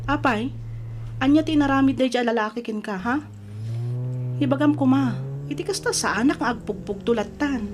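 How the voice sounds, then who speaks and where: A woman's voice: high voice, moderate pace, long pauses, background noise, natural voice. A woman with an Ilocano Filipino accent acting a concerned, insistent best friend in an old-time radio drama, probing and emphatic. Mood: concerned, prodding.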